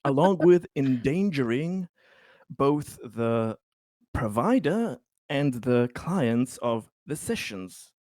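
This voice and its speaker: A man playing a placating yet firm bureaucratic official in improvised tabletop roleplay, speaking haltingly and officiously with long pauses. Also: mid-range voice, sing-song, a little mellow, no room sound. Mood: officious, grave.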